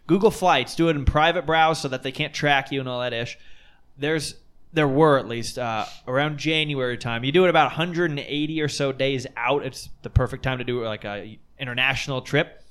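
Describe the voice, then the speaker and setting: A man's voice: medium pitch, brisk, clean tone. Rambling late-twenties man hosting a podcast. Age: late 20s. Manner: rambling, excitable, swears casually. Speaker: podcast host. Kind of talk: casual chat.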